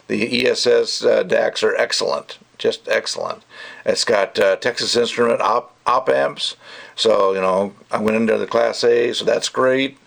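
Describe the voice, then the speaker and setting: A man with a lowish voice full of heavy fry and gravel talks through a casual product review. He rambles conversationally, drops in asides and states his opinions with emphasis. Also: fairly bright tone. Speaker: gadget reviewer.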